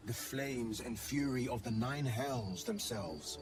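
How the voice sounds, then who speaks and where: Man acting an earnest, haunted warlock over loud music. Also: mid-range voice, slow pace, lightly acted. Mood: regretful. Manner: earnest, brooding storytelling. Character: earnest, haunted heroic young warlock with fiendish pact. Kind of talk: dramatic video game monologue.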